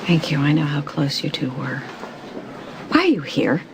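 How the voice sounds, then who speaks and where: A woman's voice: low-pitched, very animated, moderate pace. A woman playing a cold, protective, formally cutting woman in a screen drama. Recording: noisy ambient soundtrack.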